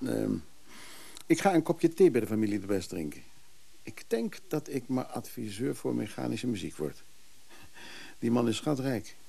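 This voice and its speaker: An actor playing a lonely, courteous, easily flustered antique dealer in a radio play, speaking hesitantly and politely. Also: male voice, mid-range voice, sing-song, moderate pace, vocal fry, long pauses, warm tone, slightly rough, exaggerated voice. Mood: confiding, smitten.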